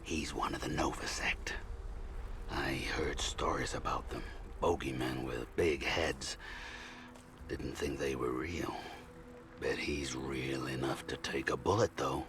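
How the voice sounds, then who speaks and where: A man voicing a gruff, sardonic, world-weary mutant stalker in a video game, muttering tersely. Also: rumbling low, steady tone, slow, a bit bright, a little hiss or hum, full character voice. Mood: grim, wry. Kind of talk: video game character acting.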